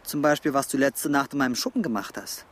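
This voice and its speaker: A man's voice: mid-range voice, fast talker, fairly bright tone, natural voice. An actor playing a foul-mouthed, angry man making threats in a crime radio drama. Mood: menacing. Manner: gruff, threatening. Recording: faint outdoor vehicle noise.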